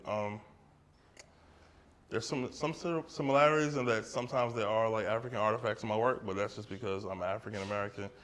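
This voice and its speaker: A man's voice: lowish voice, fairly bright tone, a little hiss or hum. Casual man talking in a gallery. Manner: loose and conversational, often tags "right?" and "like". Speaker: artist discussing his exhibition. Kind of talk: casual gallery talk answering audience questions. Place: art gallery with an audience.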